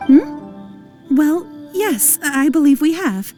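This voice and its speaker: A woman's voice: high-pitched, very animated, takes it slowly, dark tone, faint music behind, full character voice. A woman voicing a polite, shrewd businesswoman in a video game, speaking formally and courteously. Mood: grateful, businesslike. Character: polite, shrewd young businesswoman from ore-trading family.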